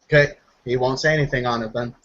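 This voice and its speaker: A man's voice: medium pitch, steady tone, fast talker, a bit bright, muffled recording. A man chatting casually as a player in a tabletop roleplaying game.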